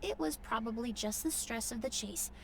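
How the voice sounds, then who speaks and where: A composed and reassuring female investigator from an anime-style game. She speaks politely and formally in a fairly high voice, with faint background noise. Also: moderate pace, vocal fry, a bit bright.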